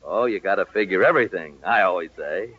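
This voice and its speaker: A man's voice: sits low, expressive, fast talker. An actor playing a sly, money-hungry contractor with folksy salesman patter in an old-time radio comedy. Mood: smug, persuasive. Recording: muffled, noisy old radio recording.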